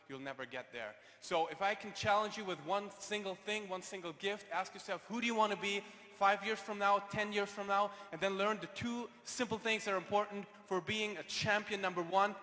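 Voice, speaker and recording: A man giving an earnest motivational speech on stage, sometimes halting and restarting. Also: high-pitched, bright, forceful tone. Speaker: speaker at a business event. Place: large hall on stage. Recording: reverberant hall via PA.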